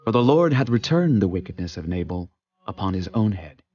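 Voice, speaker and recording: Devout warrior leader, swooping declamatory delivery. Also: male voice, lowish voice, very animated, a little fast, warm tone, dull audio. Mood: solemn. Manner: solemn, declamatory, emphatic. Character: David, devout, commanding young male warrior leader. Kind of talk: dramatized scripture acting.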